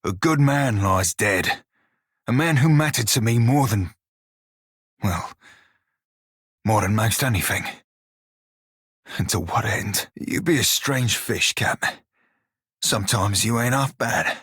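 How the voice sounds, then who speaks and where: Swaggering fantasy pirate for a game: husky, lowish, salty old-time sea talk, wry and loyal. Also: male voice, animated, slow pace, long pauses, a bit bright, no echo, studio quality, heavily acted. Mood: grieving, somber, then wry, guarded. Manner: archaic nautical pirate dialect, swaggering. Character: salty blue-skinned pirate shiphunter, gruff, wry, loyal. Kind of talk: video game character voice lines.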